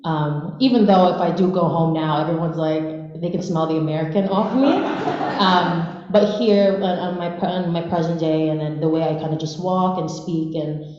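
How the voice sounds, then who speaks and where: A woman's voice: sits low, steady tone, moderate pace, mellow. A woman in her twenties from the Philippines, a native Bisaya speaker, telling her story casually and informally on a panel. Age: 20s. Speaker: panelist, dancer. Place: large echoey room at a live panel event. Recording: very echoey hall, slightly muffled.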